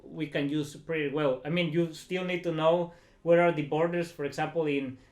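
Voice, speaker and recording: Higher-voiced man, echoey room. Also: steady tone, normal speed, darkish tone. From El Salvador. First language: Spanish. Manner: informal, chatty, peppered with filler phrases. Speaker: interview guest, startup founder. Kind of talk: casual interview talk.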